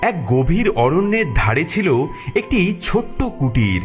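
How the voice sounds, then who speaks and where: Male narrator, cartoon fairy tale, animated intonation, dramatic storytelling, music behind. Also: fairly high pitch, normal speed.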